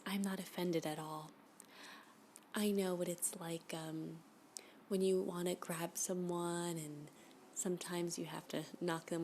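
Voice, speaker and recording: A woman playing a flirty, obsessive stalker in an ASMR roleplay, breathy and often whispering, with a coy, smitten manner. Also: lowish voice, a little mellow, slight background noise. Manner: coy, slow, unhurried, with giggly asides.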